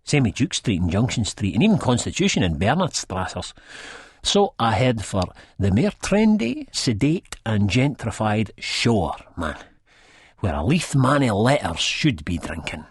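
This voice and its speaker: An actor playing a rambling, good-hearted, drug-addled man from Leith with a husky Edinburgh accent, telling his story in an audiobook. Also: on the low side, sing-song, measured pace, no room sound, clean studio sound, full character voice. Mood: animated, indignant. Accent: Edinburgh Scottish. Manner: colloquial, rambling, peppered with "man". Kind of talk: first-person audiobook narration, acted in character.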